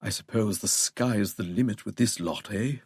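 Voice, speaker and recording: A man voicing a smug, unflappable English gentleman villain for an audiobook, with a posh, droning, mock-courteous manner. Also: lowish voice, moderate pace, breathy edge, no echo, studio quality. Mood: breezy, mocking. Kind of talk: audiobook character voice.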